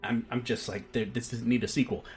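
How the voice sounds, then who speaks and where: A man's voice: mid-range voice, soft background music. A man hosting a podcast, chatting with enthusiasm and laughing often. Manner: enthusiastic, animated, quick to laugh. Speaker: podcast host. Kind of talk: casual chat.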